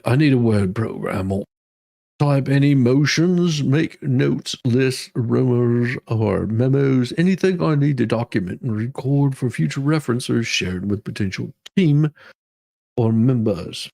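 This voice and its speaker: A man giving a mock-dramatic reading as a demanding woman inmate pleading her own case, with halting, emphatic delivery. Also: medium pitch, lively intonation, vocal fry, warm tone. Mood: earnest, demanding.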